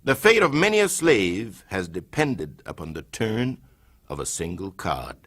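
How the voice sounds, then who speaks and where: A low-voiced older man reads a historical oration in a slow, measured way. His delivery swings widely, swelling to impassioned heights and then dropping to near-hushed tones. The reading is dramatic and deeply solemn throughout. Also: sing-song. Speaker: reader of a historical speech.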